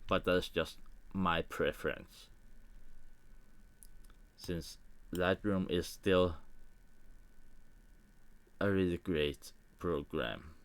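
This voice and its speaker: A man from Denmark with a Danish accent and Danish as his first language, thinking aloud in halting English with small grammar slips as he walks through editing a photo on a live stream. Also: sits low, smooth. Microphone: desk microphone.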